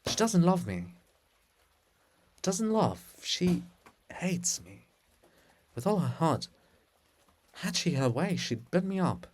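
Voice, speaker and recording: Man doing a melodramatic, lovesick apostle statue, swooping pitch. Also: sing-song, slow, pauses often, a little mellow, slight background noise. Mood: lovelorn lament. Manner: florid, pleading, melodramatic. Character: lovesick, grandiloquent male apostle monument, scorned suitor.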